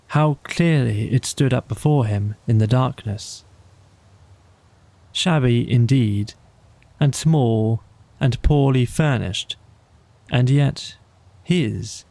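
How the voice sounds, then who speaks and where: A man narrating a bedtime storybook in a measured, soothing way, with deliberate phrasing. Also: medium pitch, creaky, mellow, no room sound. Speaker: audiobook narrator.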